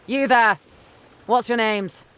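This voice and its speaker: A woman's voice: on the high side, slow pace, fairly bright tone, clean tone. A woman playing an assertive but kindly pirate captain in an audio drama. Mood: stern, questioning. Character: assertive, reasonably kind female pirate captain.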